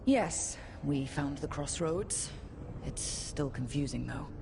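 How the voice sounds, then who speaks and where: A woman's voice: low voice, lively intonation, takes it slowly, vocal fry, background noise, heavily acted. An actress playing a resolute, wry and plain-spoken female adventuring leader in a video game. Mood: firm. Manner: direct, wry, down-to-earth. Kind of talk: video game cinematic acting.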